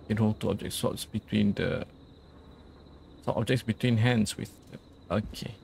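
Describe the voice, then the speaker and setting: Low, creaky-voiced man doing game commentary. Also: steady tone, moderate pace, a little mellow, a little hiss or hum. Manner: murmured thinking aloud, reads on-screen instructions. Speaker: gaming video host. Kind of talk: casual let's play commentary.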